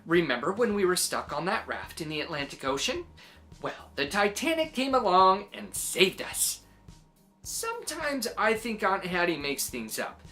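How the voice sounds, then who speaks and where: Low-voiced woman, hugely animated storyteller. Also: moderate pace, faint music behind. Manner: expressive, acted-out storytelling. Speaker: children's story reader. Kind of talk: animated read-aloud narration for children.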